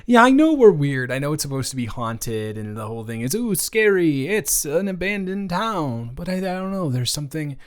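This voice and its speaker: Man playing a playful, halting best friend. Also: a little high, very animated, clear, resonant tone. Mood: musing. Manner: halting, casual, with false starts and repeats. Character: playful, teasing young man, listener's best friend on a road trip. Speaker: audio roleplay creator and performer. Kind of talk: improvised conversational roleplay audio.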